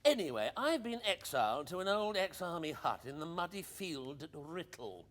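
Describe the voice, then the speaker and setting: A man's voice: fairly high pitch, big pitch swings, normal speed, a bit bright. An actor playing a breezy, cheeky upper-class English ex-army captain in a radio play, with hearty old-boy banter. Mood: breezy, persuasive.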